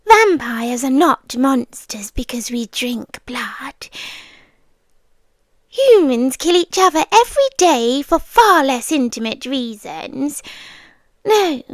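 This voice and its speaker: Woman acting a high-voiced, possessive British vampire girlfriend. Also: no echo, heavily acted. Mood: intense, obsessive. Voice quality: soft, breathy, often slipping into whispers. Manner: slow, coaxing, lingering delivery. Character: possessive, lovesick immortal vampire girlfriend, tender yet menacing. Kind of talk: ASMR girlfriend roleplay, close and intimate.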